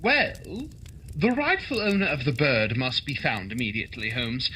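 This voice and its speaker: A man playing an earnest, inquisitive Victorian gentleman, companion to a detective, in a radio drama. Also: medium pitch, lively intonation, moderate pace, a little hiss or hum, poor audio.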